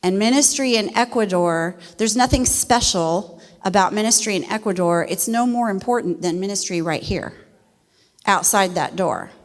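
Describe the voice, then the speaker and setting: A middle-aged woman from West Virginia, a missionary speaking to a church congregation in a folksy, animated way. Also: lowish voice. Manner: folksy and animated, laughs easily. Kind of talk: church testimony talk. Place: church sanctuary. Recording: long reverb of a church hall.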